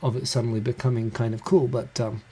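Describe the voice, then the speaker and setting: Man, New Zealand accent, dark mellow tone, rambling self-deprecating chat, close handheld mic. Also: medium pitch, little pitch movement, slightly rough. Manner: rambling, self-deprecating, talks fast with restarts. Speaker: livestream host. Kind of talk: casual solo live chat. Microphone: handheld USB recorder mic close. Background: computer fan hum.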